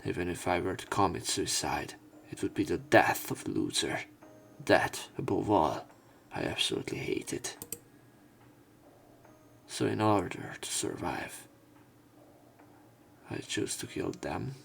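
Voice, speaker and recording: A man reading visual novel text aloud as a let's player, in a measured, serious manner. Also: low-pitched, expressive, vocal fry, a bit bright, a little hiss or hum.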